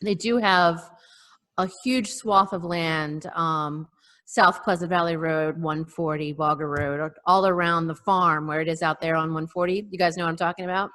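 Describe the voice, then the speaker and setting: A woman co-hosting a casual chat on a video call, telling local news in a hesitant, pausing way. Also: lowish voice, steady tone, fairly bright tone. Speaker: podcast co-host.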